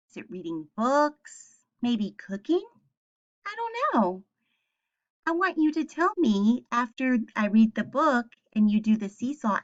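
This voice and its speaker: Lively, higher-voiced woman reading to children. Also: highs cut off. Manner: addresses young children with simple questions and clear explanations. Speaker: teacher reading a picture book aloud. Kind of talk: children's read-aloud.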